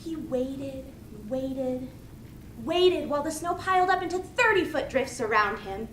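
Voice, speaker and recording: A woman giving a rehearsed storytelling speech to an audience, with theatrical pauses and repetition. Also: sits high, animated. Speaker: speech contestant. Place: indoor meeting room. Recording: echoey room, distant mic, faint noise.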